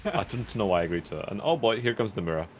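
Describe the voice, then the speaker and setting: A man's voice: lowish voice, animated, rapid-fire, slight background noise. A man co-commentating a fighting-game tournament, chatty and rambling and often laughing. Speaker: esports co-commentator.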